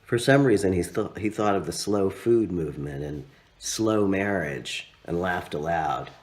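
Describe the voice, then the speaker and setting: A man reading his own literary story aloud as narrator, with expressive, dramatic phrasing and drawn-out words. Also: low-pitched.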